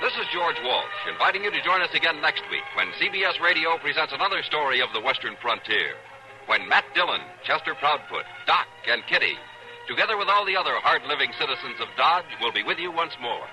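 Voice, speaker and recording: Formal, lively vintage radio announcer. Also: male voice, fairly high pitch, brisk, low-quality recording. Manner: formal, declamatory. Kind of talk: old-time radio closing announcement. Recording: vintage radio broadcast with music.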